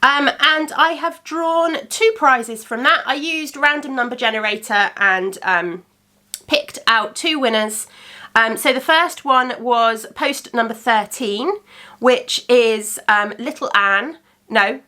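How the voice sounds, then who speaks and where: Bright, chatty woman podcast host. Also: on the high side, slow pace. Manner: chatty and rambling, many ums. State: congested from a cold. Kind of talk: casual chat.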